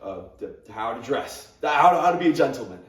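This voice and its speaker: A man's voice: mid-range voice, big pitch swings, fast talker, close to an everyday voice. Young guy doing a nervous stuttery teacher guy, grieving, echoey room, mic far off. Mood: tender. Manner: stammers, repeats words, nervous laughs. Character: awkward stammering male English teacher, grieving. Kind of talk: competitive dramatic interpretation monologue. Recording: roomy sound from a distant camera.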